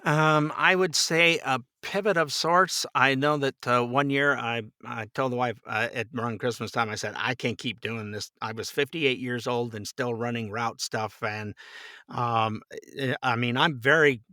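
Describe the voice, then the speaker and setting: Male, 60s, bright forward voice, medium pitch. Jokey podcast guest spinning casual stories, close dry sound. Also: unhurried, dry recording. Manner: jokey, animated, slips into voices when quoting. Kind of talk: casual anecdotal storytelling.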